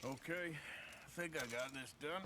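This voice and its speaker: An actor playing a rugged, dry, laconic American outlaw cowboy in a western game. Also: male voice, a little high, unhurried, sharp tone. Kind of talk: western video game voice acting. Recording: clear background noise, horse hoof clatter.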